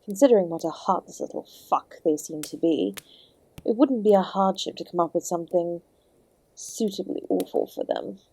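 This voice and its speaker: A woman's voice: on the low side, normal speed, vocal fry, warm tone, breathy edge, no echo, low-quality recording. Woman playing a suave, teasing female Devil, dry close sound. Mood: soft, sympathetic, wicked. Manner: genteel, teasing, theatrical, calling the listener "my dear". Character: charming, sardonic female Devil, ancient and courteous host. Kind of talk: scripted audio roleplay, addressing the listener directly.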